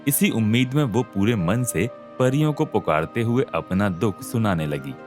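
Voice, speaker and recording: A man narrating a scripted animated moral story. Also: lowish voice, normal speed. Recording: music behind the voice.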